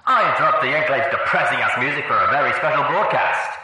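A man's voice: mid-range voice, animated, fast, sharp tone, voice pushed up. A man voicing a brash, showy rebel pony DJ in an audiobook, with radio announcer patter through a radio effect. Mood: brash, excited. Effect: radio filter. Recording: very echoey, slightly distant radio sound.

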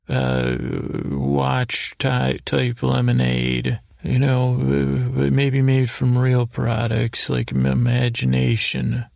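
A man hosting a bedtime podcast, rambling haltingly through pointless, silly asides in a creaky, gravelly voice meant to lull listeners to sleep. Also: mid-range voice, a little mellow, dry recording, clean studio sound. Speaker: podcast host.

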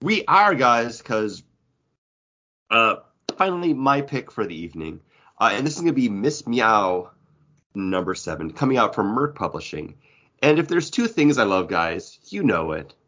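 A man's voice: medium pitch, very animated, moderate pace, pushed and bright. A man co-hosting a podcast, chatting casually in a rambling, jokey way. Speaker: podcast co-host. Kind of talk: casual comic book podcast chat. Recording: dull, lo-fi audio.

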